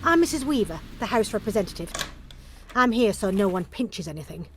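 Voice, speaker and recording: A woman's voice: mid-range voice, big pitch swings, fairly quick, a little hiss or hum, close to an everyday voice. An actress playing a gossipy, suspicious, chatty female building caretaker in an audio drama. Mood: bustling, nosy. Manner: chatty, busybody. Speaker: actor in an audio play. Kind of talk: naturalistic audio drama dialogue.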